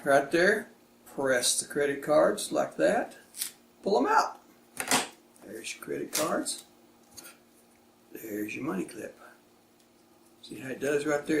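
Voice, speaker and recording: A man's voice: fairly high pitch, fairly bright tone. A man hosting a casual unboxing demonstration, speaking in short, plain, folksy phrases. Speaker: product reviewer and video host. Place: indoors, echoey room. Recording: echoey room with clear background noise.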